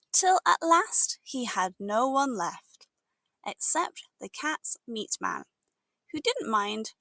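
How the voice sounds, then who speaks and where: A British woman narrates a children's storybook in the expressive manner of a teacher. Her voice is fairly high with a creaky edge, and she takes her time over each phrase, delivering it deliberately for learners. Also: no echo. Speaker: English teacher reading aloud.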